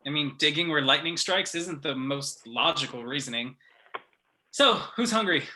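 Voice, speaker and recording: A man playing a wry, skeptical teenage boy with casual deadpan quips in a script read. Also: fairly high pitch, moderate pace, slight background noise.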